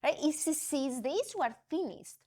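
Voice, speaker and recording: A woman playing an exasperated comedy writer who scolds her AI, expressive and emphatic. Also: high voice, moderate pace.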